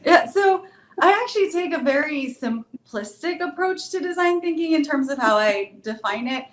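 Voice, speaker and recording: Woman from Hawaii in an echoey room. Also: high voice. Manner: thoughtful, with fillers and restarts. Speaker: panelist, design firm president. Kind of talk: casual panel chat.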